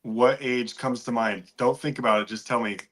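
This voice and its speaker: A man's voice: medium pitch, fairly even intonation, brisk, a bit bright. A man of about forty chatting casually as a guest on a talk show. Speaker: podcast guest. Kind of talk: casual chat. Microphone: online video call.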